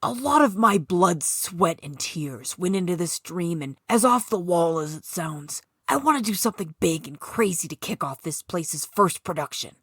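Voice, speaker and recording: A woman's voice: lowish voice, animated, moderate pace, hardly any pauses, fairly bright tone, husky, no room sound. Solemn old ghost man for a supernatural short story, read by a woman, earnest confider, dry close recording. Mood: earnest, pleading. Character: strange old man, ghostly theatre founder, solemn and warning. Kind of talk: dramatic story reading.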